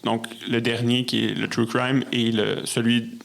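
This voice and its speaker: Creaky-voiced Québécois man chatting. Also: medium pitch, little pitch movement, a little slow, a bit bright. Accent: Québécois French. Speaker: podcast guest, musician and storyteller. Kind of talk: casual interview conversation.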